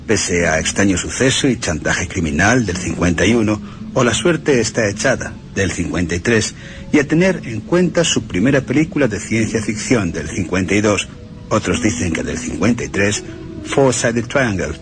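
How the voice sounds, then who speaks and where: A man's voice: on the low side, lively intonation, moderate pace, over music. A man with a Castilian Spanish accent narrating a scripted piece of film history as a radio presenter. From Spain.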